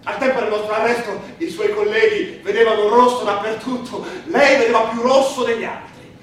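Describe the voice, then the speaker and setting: High-voiced defiant anarchist in the dock, played by an actor. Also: male voice, little pitch movement, lightly acted. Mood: scornful. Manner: eloquent, halting then biting. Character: proud, defiant condemned Italian anarchist addressing the prosecutor. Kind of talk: theatrical courtroom monologue. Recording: roomy, distant stage recording with faint noise.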